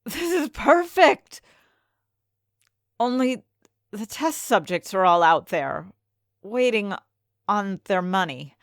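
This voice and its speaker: A woman playing an ambitious, conflicted scientist who narrates her own story with dramatic, deliberate phrasing. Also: medium pitch, lively intonation, no echo, studio quality. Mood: triumphant, then scheming, agitated. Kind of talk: first-person audio fiction narration.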